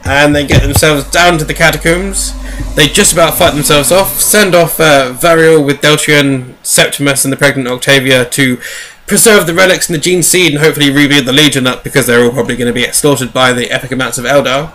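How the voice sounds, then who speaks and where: A man's voice: on the high side, fairly even intonation, brisk, pushed and bright, slight background noise, rough recording. A man hosting a podcast, recapping in a casual, rambling, jokey way with wry asides. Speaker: podcast host. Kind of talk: casual chatty book recap.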